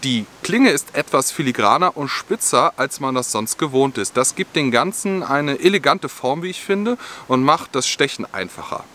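A man's voice: medium pitch, expressive, moderate pace, hardly any pauses, bright, forceful tone. A young man hosting an outdoor gear vlog, filmed outdoors in a forest, explaining products in a friendly, explanatory way. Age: young adult. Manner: friendly, explanatory, with scripted-sounding product details. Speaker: outdoor gear channel host.

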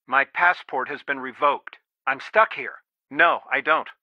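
A man's voice: mid-range voice, unhurried, no echo, muffled recording, natural voice. A man voicing a stern, worried middle-aged father and lawyer in a video game, earnest, firm and protective. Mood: angry, firm. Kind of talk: video game voice acting, one side of phone conversations.

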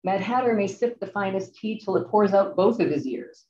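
A young woman giving a rhythmic, measured poetry reading over a video call. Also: medium pitch, lively intonation, a little mellow. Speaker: poet reading. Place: large room with seated audience. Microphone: video call, off to the side. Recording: distant muffled echoey room audio.

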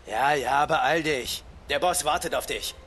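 A man's voice: mid-range voice, measured pace, a bit bright, a little hiss or hum, natural voice. An actor playing an impatient, threatening henchman, curt and bullying, in a game dub. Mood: irritated. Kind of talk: German game dub acting.

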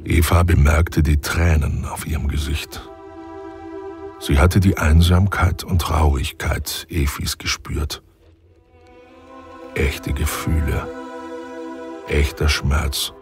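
A man with a very deep voice narrates an audio drama. He reads slowly and evenly, with music playing underneath. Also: fairly even intonation, creaky.

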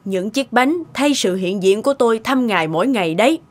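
A woman's voice: fairly high pitch, animated, a little fast. A woman playing a gracious, courteous elderly lady in a cartoon moral tale. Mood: gently teasing. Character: gracious elderly lady, gently teasing grandmother. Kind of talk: animated moral tale dubbing.

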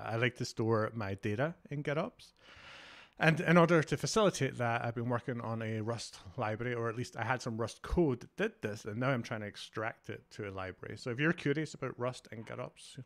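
A man's voice: mid-range voice, vocal fry. A Scottish man from Glasgow in his thirties, giving an enthusiastic, conversational conference talk over a video call. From Scotland. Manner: enthusiastic, conversational, many fillers. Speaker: conference speaker, developer advocate.

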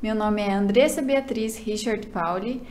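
A woman's voice: medium pitch, a little slow, a little mellow, slight background noise. A nineteen-year-old Brazilian woman introducing herself politely and formally in an interview. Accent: Brazilian Portuguese. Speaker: pageant candidate. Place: office studio. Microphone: desk mic on stand.

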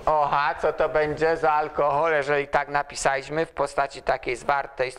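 An older man lecturing at a chalkboard in a large hall, pausing often to ask students questions as he writes. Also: fairly high pitch, little pitch movement, fairly bright tone, clear, resonant tone. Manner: slow, asks students questions while writing on the board. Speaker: university lecturer.

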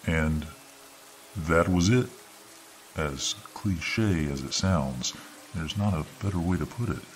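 A man narrating a scripted horror story in ASMR style, with an ominous, drawn-out delivery. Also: sits low, slight background noise. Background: background music.

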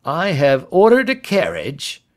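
A man's voice: on the high side, wildly swooping pitch, measured pace, voice pushed up. A man voicing a lean, sly, self-satisfied Scotland Yard inspector in an audiobook, with a cocksure, indulgent manner. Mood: eager.

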